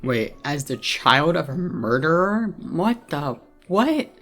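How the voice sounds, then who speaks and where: Slow, casual male game commentator. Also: on the high side, big pitch swings, fairly bright tone. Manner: informal, mild swearing. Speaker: gaming streamer. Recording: game music behind commentary.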